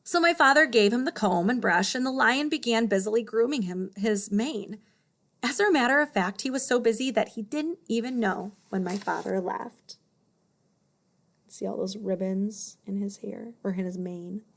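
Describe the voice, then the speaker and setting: A woman's voice: medium pitch, normal speed. A woman narrating a children's story as a read-aloud. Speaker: storybook reader.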